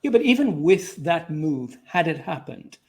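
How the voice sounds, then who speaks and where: A man with a fairly high voice acts as interviewer. He speaks conversationally, framing questions and offering counterpoints to his guest. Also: sing-song, unhurried, a little mellow.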